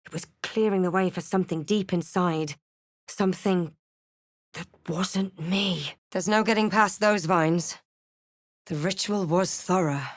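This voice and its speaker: Casting: actress as a wry, watchful female adventurer for a fantasy game, measured dramatic delivery, dry studio sound. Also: lowish voice, dry recording, lightly acted. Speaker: player character voice in a fantasy role-playing game. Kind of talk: scripted game voice lines and narration.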